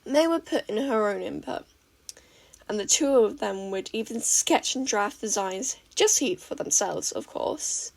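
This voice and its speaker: A woman reading a fan fiction story aloud in a steady, even storytelling manner. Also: mid-range voice, unhurried, creaky, low-quality recording. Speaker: podfic reader.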